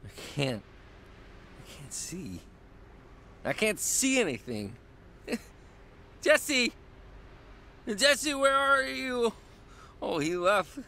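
An actor playing a troubled young male airman, narrating his story gravely in first person in a radio drama. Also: high-pitched, big pitch swings, drawn-out words, long pauses, sharp tone, heavily acted. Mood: panicked. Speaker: narrator and main character.

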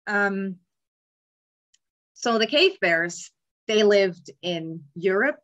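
Young woman, mid-pitched, patient explanatory lecturer on a webcam call, filler-heavy. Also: lively intonation, fairly bright tone, dull audio. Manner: measured, explanatory, with frequent ums. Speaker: palaeontology lecturer. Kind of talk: slide lecture on cave bears. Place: indoor room, remote talk. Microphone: webcam in a video call.

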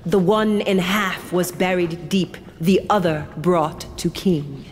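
A woman's voice: fairly low pitch, steady tone, slow pace, big-room sound, faint music behind. A woman playing a composed noble lady mentor and storyteller in a fantasy audio drama. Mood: calm, kindly.

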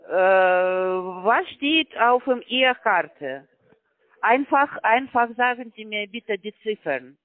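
High-pitched guy on a phone line, talks slow and halting, broken german, dry sound. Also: lively intonation, no echo. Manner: halting, slow, non-native German grammar, repeats digits back. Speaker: call-center scammer. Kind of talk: phone call.

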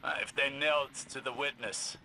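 A man's voice: mid-range voice, fairly even intonation, moderate pace, slight background noise, poor audio. A man voicing a stern, warlike alien warrior in a video game, with formal, solemn, commanding phrasing. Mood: grave, ominous. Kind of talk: dramatic video game voice acting. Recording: loud music behind.

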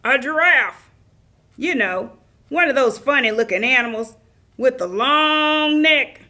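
A woman's voice: high-pitched, lively intonation, slow, pushed and bright, full character voice. A woman voicing a silly, muddled, cheerful puppet wolf who tells children stories in a scatterbrained, singsong way. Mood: goofy, cheerful. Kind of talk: children's puppet theater storytelling.

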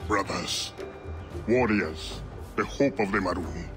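An actor playing a proud, stern assassin leader in a video game, speaking in a measured, formal and sardonic way. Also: male voice, sits low. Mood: proud, wary. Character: proud, stern freedom-fighter assassin leader, dry-humoured. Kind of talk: dramatic video game acting. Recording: loud music behind.

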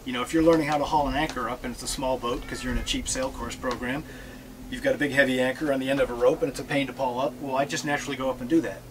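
A man's voice: mid-range voice, racing, noisy background. Joking middle-aged man talking on a boat. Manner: relaxed, joking, reads from notes. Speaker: sailor giving a talk. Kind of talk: casual presentation to an audience. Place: aboard a catamaran in a marina. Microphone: far camera.

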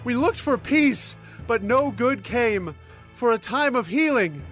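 A high-voiced despairing man. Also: expressive, takes it slowly, fairly bright tone, faint music behind, full character voice. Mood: urgent despair. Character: despairing man of a doomed people. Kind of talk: dramatized scripture reading.

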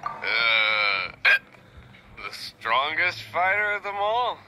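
A man's voice: a little high, very animated, drawn-out words, bright, forceful tone, a little hiss or hum, full character voice. A man voicing a tipsy, cheerful knight in an anime game, with hiccuping drunken delivery. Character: tipsy, cheerful male knight drinking in a tavern.

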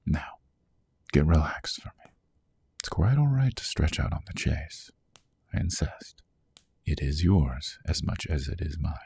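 A man playing a suave, possessive vampire lord in a hypnotic ASMR roleplay, hushed and often whispering, with courtly old-fashioned diction. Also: sing-song, a little slow, pauses often, exaggerated voice. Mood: tender, coaxing. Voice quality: very deep, gravelly, husky.

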